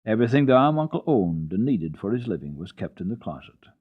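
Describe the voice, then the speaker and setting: A man giving an expressive, dramatic radio reading of a children's classic, with deliberate phrasing. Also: on the low side, husky. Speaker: radio storyteller. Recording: dull lo-fi old radio recording.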